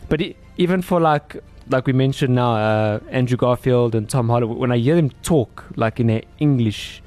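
A man co-hosts a casual movie review chat in a relaxed, agreeable way. His voice has a middling pitch, and soft music plays faintly behind him. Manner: relaxed, agreeable, quick to laugh along. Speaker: co-host of a movie review show.

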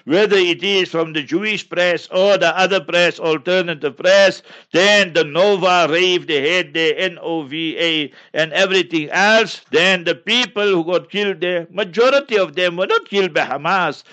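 A man's voice: sits high, moderate pace, few pauses, sharp tone. A man from South Africa with a South African accent, whose first language is Memon, answering listener questions as an Islamic scholar in an emphatic, preacherly way.

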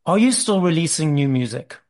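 A male interview host with a somewhat high voice. He reads a formal introduction and then asks his guest questions in a steady, measured presenter's manner.

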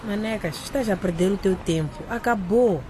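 A woman's voice: mid-range voice, moderate pace, background noise, lightly acted. A woman acting a self-assured, easily exasperated teenage schoolgirl in a radio drama, firm and scolding. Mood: guarded, hesitant.

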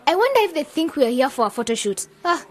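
A bossy young singer girl in a radio play, really high voice, impatient n sharp-tongued. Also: sing-song, fast. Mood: exasperated. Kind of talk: radio drama acting.

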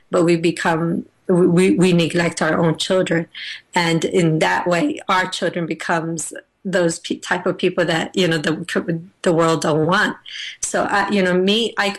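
A middle-aged woman from Afghanistan, a teacher and author, talking as a guest in a radio interview, with many restarts and fillers. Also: lowish voice.

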